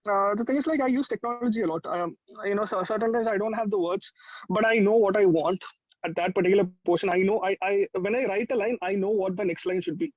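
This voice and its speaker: A young man with an Indian accent, a musician talking informally and haltingly in a casual interview. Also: high voice, dry recording, muffled recording. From Kerala, India. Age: young adult.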